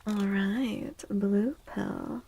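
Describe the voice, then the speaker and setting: A woman hosts a tarot stream in a gentle, whispery ASMR voice. Her tone is mellow and dark, with a noticeable vocal fry. She takes her time over each phrase, close on the mic. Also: medium pitch, fairly even intonation. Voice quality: breathy, often dropping into a whisper. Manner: gentle, slow, drawn-out phrasing. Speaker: livestream host doing tarot readings. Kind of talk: soft-spoken ASMR, chatting to viewers. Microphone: close microphone.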